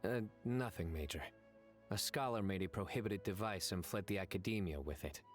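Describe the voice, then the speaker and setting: A stern, deadpan desert lawman played by a man for an anime game, low in pitch. He speaks in terse, measured, confident lines, with game music playing behind him. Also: lightly acted. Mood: grave, determined. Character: grave, stern adult male desert law enforcer, terse and confident. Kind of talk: anime video game acting.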